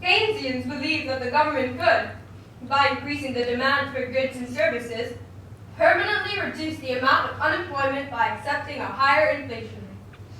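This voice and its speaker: A woman's voice: high-pitched, moderate pace, sharp tone. A woman voicing an opinionated male economist puppet in a scripted mock interview, lecturing emphatically and dismissively. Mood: scornful. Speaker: interview guest. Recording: very echoey room, distant mic, faint noise.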